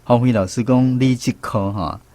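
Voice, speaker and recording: A man's voice: medium pitch, normal speed, warm tone, slight background noise. A man with a Taiwanese accent hosting an educational language quiz on the radio. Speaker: radio host of a language segment.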